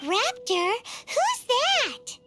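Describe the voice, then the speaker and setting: Clear game music sits behind a very high, lively young female voice. She is a confident gliding instructor in a video game. Her delivery is upbeat, eager and encouraging. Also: extremely slow, heavily acted. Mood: puzzled.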